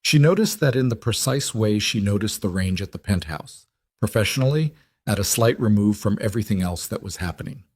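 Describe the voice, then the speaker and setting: A man reading scripted dramatic fiction as an audiobook narrator, measured and deliberate in short phrases. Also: fairly low pitch, expressive, a little mellow, breathy edge, no echo.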